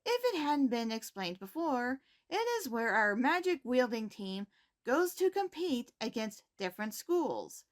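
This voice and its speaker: A woman playing a poised, caring high school principal, giving scripted announcements in a measured, formal manner. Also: sing-song. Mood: composed, encouraging. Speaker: host reading school announcements.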